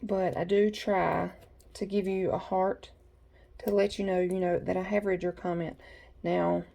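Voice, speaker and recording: A woman's voice: lowish voice, flat delivery, a little slow, gravelly, dark tone. A woman, a bit congested from allergies, chatting folksily with viewers as she works at her home craft desk. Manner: chatty, folksy, talks to viewers while working. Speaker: craft tutorial host. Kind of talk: casual step-by-step craft tutorial.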